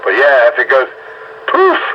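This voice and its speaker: A man's voice: medium pitch, huge pitch swings, moderate pace. A man in a casual radio chat as an amateur operator, often repeating his words for emphasis. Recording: dull lo-fi radio signal with static.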